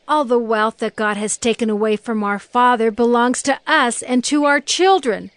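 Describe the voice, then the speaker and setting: An actress playing a firm, resolute wife in an audio Bible drama. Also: female voice, fairly high pitch, normal speed, clean tone, no echo, natural voice.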